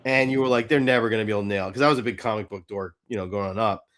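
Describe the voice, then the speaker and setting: A man co-hosting a podcast in casual fan chat, halting and interrupting himself, with some mild swearing. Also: fairly low pitch, fairly even intonation, a bit bright. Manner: halting, self-interrupting, mildly profane. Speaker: podcast co-host.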